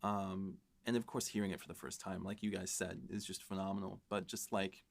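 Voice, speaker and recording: A man with a fairly low voice speaks on a casual podcast as a writer. He talks in a measured, reflective way, taking time over his thoughts. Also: flat delivery, creaky. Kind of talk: casual chat.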